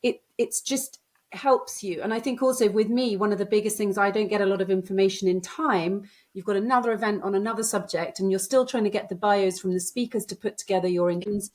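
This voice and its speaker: A woman's voice: medium pitch, fairly quick, darkish tone. A woman in her fifties who hosts a podcast, chatting easily with a guest over a video call. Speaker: podcast host. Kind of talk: casual interview chat. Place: home room.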